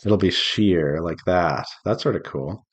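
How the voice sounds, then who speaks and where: A man's voice: low-pitched, unhurried. A man chatting casually and playfully to himself and his viewers while he draws, rambling along as an indie game developer on a devlog. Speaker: visual novel developer streaming while drawing.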